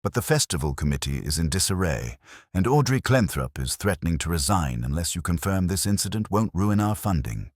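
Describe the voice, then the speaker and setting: A fussy, flustered middle-aged town councillor, as a male reader puts him on, pompous and wheedling. His voice is extremely low and husky, with a wide, lively swing in pitch. It is heard close and dry. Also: a little fast, no room sound.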